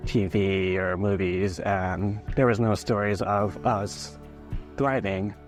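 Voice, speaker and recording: A man from the United States speaking in a calm, sincere way in an interview testimonial. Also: fairly low pitch, takes it slowly, soft background music. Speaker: employee.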